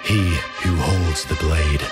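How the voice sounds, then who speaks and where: Man, series announcer, very deep bass, dramatic scripted recap, strong music bed. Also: fairly even intonation, takes it slowly.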